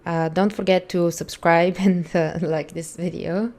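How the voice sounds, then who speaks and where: A woman's voice: on the low side, fairly even intonation, measured pace, darkish tone. A woman whose first language is Bulgarian, an accessibility instructor thinking aloud in a candid, blunt way while she tests a website for a screen-recorded tutorial.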